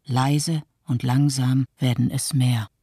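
An actor voicing a stunned earthquake witness in a poetic radio monologue, in clipped short phrases with pauses. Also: medium pitch, level tone, mellow, breathy edge, no room sound, studio quality. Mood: stunned, bleak.

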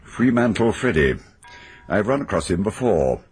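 An actor playing a brisk, authoritative senior police officer in a radio drama. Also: male voice, on the low side, very animated, darkish tone, breathy edge, full character voice. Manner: clipped, commanding.

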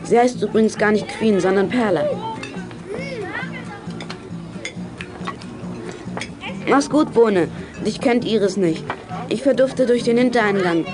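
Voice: mid-range voice, moderate pace, over music, close to an everyday voice. A performer playing a decisive, cheeky child who leads young detectives in a children's radio play. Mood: conspiratorial. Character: bossy, self-assured child leader of young detectives.

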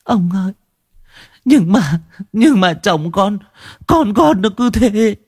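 A man's voice: high-pitched, lively intonation, slow, husky, no echo, studio quality, pitched above the performer's own voice, exaggerated voice. A man voicing a grieving widowed mother who speaks faintly through tears. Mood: grief-stricken. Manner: faint and whispery. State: tearful.

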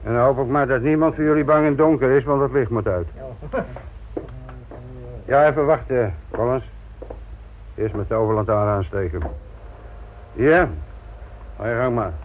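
An actor playing a blunt, sardonic, middle-aged police inspector lecturing trainees in a Dutch radio play. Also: male voice, medium pitch, normal speed, long pauses, natural voice. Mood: wry. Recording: tinny, noisy old radio play recording.

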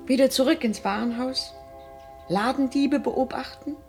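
An actress in a German radio drama playing a middle-aged employer, formal, courteous and quietly authoritative. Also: female voice, high-pitched, measured pace, soft background music. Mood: businesslike. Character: composed, businesslike female employer with a grown son.

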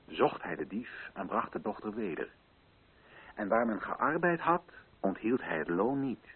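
A solemn colonial official in a radio drama, declaiming to assembled chiefs. His phrasing is rhetorical and deliberate, with wide swings in pitch. The sound is husky and narrow, like an old muffled broadcast. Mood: hushed, reverent. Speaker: actor in a radio play. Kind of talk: declaimed theatrical oratory in a radio play.

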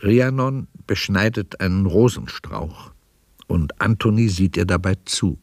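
Guy narrating a radio play, lowish voice, very dry sound, measured. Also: lively intonation, dry recording.

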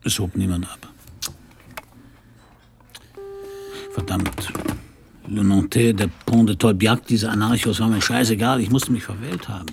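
An actor playing a blunt, world-weary detective with an anarchist past, narrating and acting gruffly in a German radio crime play. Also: male voice, on the low side, steady tone, moderate pace, noisy background. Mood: weary narration.

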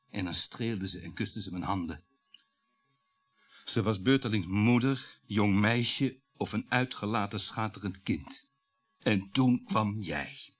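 Brooding, resentful husband. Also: male voice, lowish voice. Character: weary, resentful husband devoted to his mother. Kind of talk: Dutch radio play acting.

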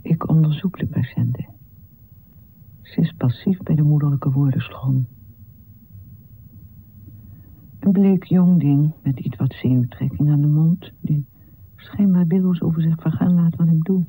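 A pioneering woman doctor in a period radio drama. Her voice is very dark and soft-edged, with a husky grain. She speaks formally and deliberately, with earnest determination. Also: sits low, poor audio. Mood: composed, clinical. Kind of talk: radio play acting.

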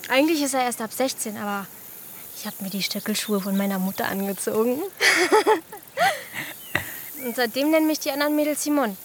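A chatty, dreamy schoolgirl with a high young voice. She talks colloquially, searching for words in a naturalistic radio play. Crickets and rural outdoor noise sit behind her. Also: a bit bright. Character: chatty, dreamy East German schoolgirl in her early teens. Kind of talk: naturalistic German radio drama acting. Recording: outdoor ambience with crickets, clear background noise.

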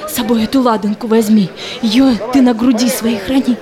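A woman's voice: fairly high pitch, fairly even intonation, moderate pace, a crowd in the background, close to an everyday voice. An actress playing a tender woman giving a parting blessing in a theatrical verse drama. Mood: tender, earnest.

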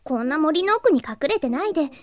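A woman's voice: high-pitched, expressive, brisk, no room sound. A woman voicing a kind, naive young catgirl in a Japanese anime-style audio drama, with a cutesy girlish voice. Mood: earnest. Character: cheerful, kind young catgirl of the dark clan.

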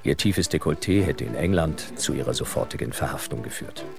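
A man's voice: rumbling low, lively intonation, brisk, vocal fry. A man reading scripted narration for an audio drama. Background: music.

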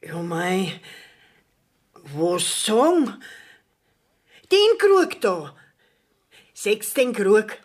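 An actress playing an indignant, long-winded village widow in a radio play, plaintive and emphatic, with a Bavarian accent. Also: female voice, mid-range voice, big pitch swings, drawn-out words, pauses often, full character voice. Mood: aggrieved, lamenting. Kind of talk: 1970s dialect radio play acting.

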